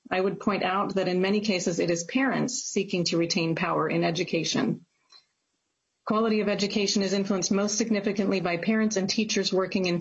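Low, husky woman on a dull recording. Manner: formal and measured. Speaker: citizen giving testimony. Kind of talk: reading prepared testimony. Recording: dull lo-fi sound.

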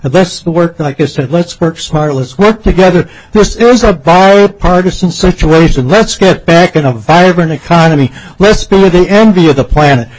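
A man in his seventies giving rambling, halting public testimony at a committee hearing. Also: a little high, low-quality recording. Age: 70s. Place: legislative committee hearing room.

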